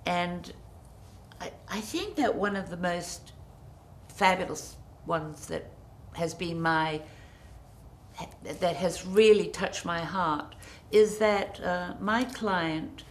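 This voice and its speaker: A middle-aged woman sits as a guest on a TV studio set, her voice on the low side. She talks graciously, stretching out words she wants to stress. A faint background hum sits under her lapel mic. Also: takes it slowly, long pauses. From New Zealand. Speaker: interview guest, designer. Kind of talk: TV studio interview.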